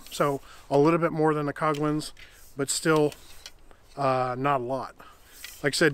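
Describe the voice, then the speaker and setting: A middle-aged man casually reviewing camping gear outdoors in a winter forest, explaining in a relaxed, rambling way that corrects itself as he goes. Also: a little high.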